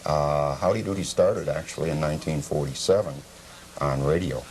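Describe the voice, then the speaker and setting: Casting: male collector guest, extremely low voice, halting factual answers, faint tape noise. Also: a little mellow, low-quality recording. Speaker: collector being interviewed. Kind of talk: informal explanatory interview talk.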